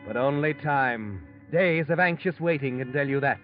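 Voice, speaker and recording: An actor playing a mysterious, knowing storyteller in 1940s radio drama, addressing the schemer in an insinuating, ominous way. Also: male voice, medium pitch, normal speed, natural voice. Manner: insinuating, second-person taunting. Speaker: narrator of a mystery anthology. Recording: tinny phone-like vintage radio, music behind. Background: music.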